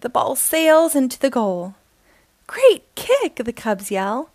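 Woman storytime reader, bright high voice with sing-song lift, dry close mic. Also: no room sound. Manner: slow, expressive, sing-song reading. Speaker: storytime host reading aloud. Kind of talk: children's picture book read-aloud.

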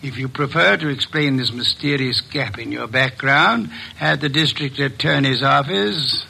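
An actor playing a middle-aged private investigator in a 1950s radio drama, speaking in a precise, measured, commanding way. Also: male voice, medium pitch, creaky, bright, forceful tone, breathy edge, full character voice. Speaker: detective.

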